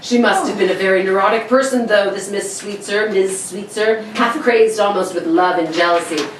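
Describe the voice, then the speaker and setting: A woman's voice: mid-range voice, normal speed, close to an everyday voice. A woman playing an unnerved, sleepless, eerily intense man in a 1940s-style suspense radio drama, theatrical and brooding. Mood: morbid. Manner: theatrical, brooding, nervous laughter. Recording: roomy, distant, faint background noise.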